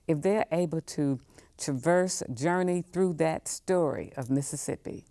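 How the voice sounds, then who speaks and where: Older woman, low gravelly voice, emphatic, conversational guest, repeats phrases for weight. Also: measured pace, dark tone, dry recording. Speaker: museum professional guest. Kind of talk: conversational interview answers.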